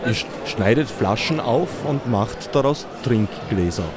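Male interviewer, direct questions, mid pitch, crowd behind. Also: expressive, measured pace. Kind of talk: fair reportage interview. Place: busy indoor fair hall.

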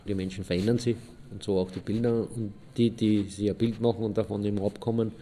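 An actor playing a detached man who analyses human nature in a radio play, deliberate in manner, in a reverberant space. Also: lowish voice, little pitch movement, warm tone, close to an everyday voice. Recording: reverberant space with faint noise.

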